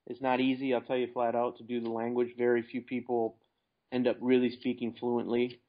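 A man's voice: mid-range voice, monotone, brisk. An American man talking casually and plainly as the guest in an investing interview. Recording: dull lo-fi internet call.

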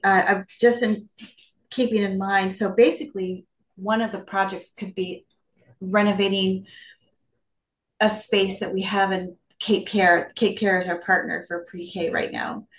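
A woman's voice: medium pitch, fairly even intonation, measured pace. A middle-aged woman, a school district administrator, asking questions on a video call and thinking aloud hesitantly. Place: office with windows and bookshelves. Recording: roomy office echo.

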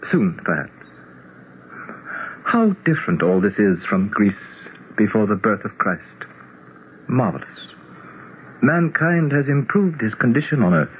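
An actor playing a courtly, self-assured immortal alien prince in a 1970s radio drama, speaking formally and with stately certainty. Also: male voice, on the low side, big pitch swings, unhurried, pauses often. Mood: lofty, calm. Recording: tinny, noisy 1970s radio broadcast.

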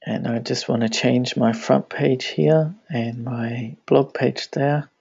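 A man with a gravelly edge to his mid-pitched voice talks casually through a software tutorial, narrating each click as he goes. He speaks English, though his first language is Danish. The recording is dry and dull, with mouse clicks behind him. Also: fairly even intonation, takes it slowly, no echo. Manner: casual, thinking aloud while clicking. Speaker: web tutorial instructor. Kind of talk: screencast tutorial walkthrough.

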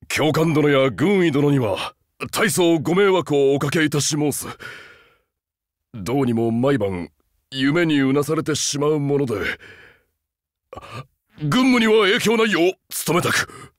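Game voice work of a huge, loyal beast-man soldier with a haunted, humble heart. He speaks in stiff, old-fashioned military formalities, with earnest intensity. The pitch sits fairly high for a man and swings dramatically, in a very dry recording. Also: no room sound. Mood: bashful, earnest. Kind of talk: Japanese game character voice acting.

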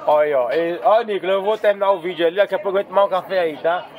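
A Brazilian man with a fairly high voice talks casually to his viewers as a street market vlogger. He is chatty and keeps tacking on short repeated tags. He is recorded outdoors with some crowd noise around him. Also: very fast, narrow, tinny audio. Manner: chatty, repeats tag phrases.

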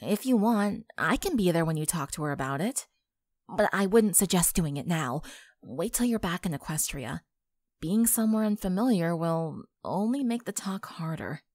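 Woman acting an earnest, low-pitched young female friend. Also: expressive, darkish tone, dry recording, studio quality. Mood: gentle, hesitant. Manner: earnest, measured, persuasive. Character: concerned, firm but caring young woman friend. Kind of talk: dramatic fan fiction voice acting.

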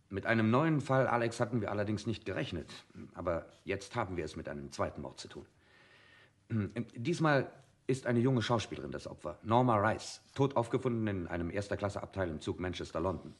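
Low-voiced, deferential police inspector. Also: male voice, lively intonation, brisk. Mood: sober. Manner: formal, hesitant, deferential. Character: deferential, earnest police inspector reporting facts. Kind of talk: German radio crime drama acting.

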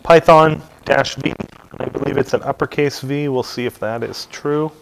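A middle-aged man teaching a hands-on software install at a lectern in a dim meeting room, talking casually with many ums and uhs. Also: mid-range voice, normal speed, vocal fry, a little hiss or hum, low-quality recording. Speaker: technical trainer. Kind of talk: hands-on tech tutorial talk.

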